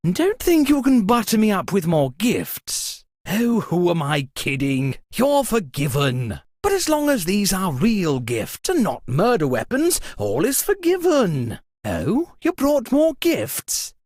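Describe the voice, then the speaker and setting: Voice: medium pitch, huge pitch swings, takes it slowly, few pauses, a little mellow, no room sound, clean studio sound, exaggerated voice. An actor playing an anxious, exasperated, posh party host in a murder mystery video game, with a theatrical, fretful delivery. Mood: flattered, forgiving. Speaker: game character voice lines. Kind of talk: theatrical comedic game voice acting.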